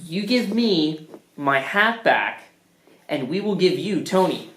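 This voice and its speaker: A man's voice: high voice, sing-song, slow, full character voice. A man acting in a comedy skit as a self-centred, whiny, melodramatic character, exasperated and prone to outbursts, in a roomy space. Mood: mock-earnest scheming. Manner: exasperated, mock-earnest, bursts into shouting. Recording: roomy, echoing indoor sound.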